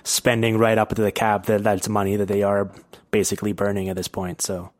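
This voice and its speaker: Male podcast host, lowish voice, casual analytical sports chat, very dry close sound. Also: level tone, brisk, dry recording.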